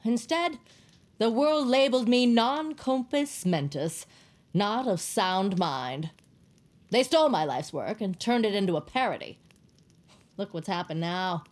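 A woman playing an eccentric, bitter, reclusive novelist with a grand, deliberate, wordy manner in an audio drama. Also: medium pitch, animated, lightly acted. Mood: bitter, theatrical. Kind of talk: scripted audio drama acting.

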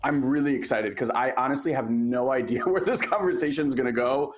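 A man of middle pitch hosting a podcast, speaking in an upbeat, promotional way. He is eager and friendly as he introduces his guest. Faint music plays behind him. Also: brisk. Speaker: podcast host. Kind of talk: enthusiastic show host.

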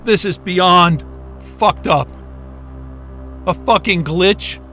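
A high-voiced male astrophysicist acted by a man in a science-fiction audio drama, distressed and bewildered. He drags out his words slowly, stammering and swearing in anger. Faint music runs underneath. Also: sharp tone, heavily acted. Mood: confused, angry. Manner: gasping, stammering, profane.

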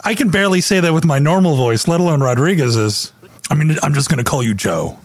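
A man's voice: fairly high pitch, lively intonation, brisk. A male voice actor joking around and practicing tricky names aloud between recording takes. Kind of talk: playful recording-session banter.

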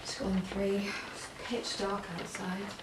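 A woman's voice: mid-range voice, monotone, slow pace, distant mic, natural voice. An actress playing a weary, concerned woman of a household in an audio drama. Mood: weary, hurt. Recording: echoey room, off-mic, noisy.

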